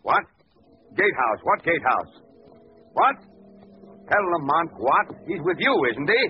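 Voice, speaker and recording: An actor playing an anxious doctor friend in an old radio drama, speaking urgently. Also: male voice, a little high, huge pitch swings. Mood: frantic. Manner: urgent, rapid questions. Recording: dull old radio recording with hiss.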